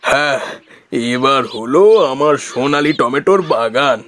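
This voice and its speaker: A man voicing a village farmer, a husband and father, in a homely, expressive cartoon style. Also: mid-range voice, a little slow, natural voice. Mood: cheerful, eager. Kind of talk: cartoon voice acting.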